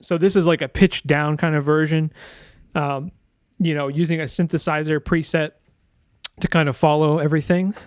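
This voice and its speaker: A man presenting a sound design software tutorial in a casual, informal way, thinking aloud as he demonstrates. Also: on the high side, fairly even intonation, moderate pace, smooth, dry recording, clean studio sound.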